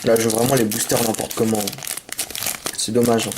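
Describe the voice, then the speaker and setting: A man with a sore throat from a cold, chatting casually and apologetically as he opens trading card packs and gets excited over the cards. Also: mid-range voice, fairly quick, noisy background. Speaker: YouTuber opening card packs. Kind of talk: casual unboxing commentary. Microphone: camera mic.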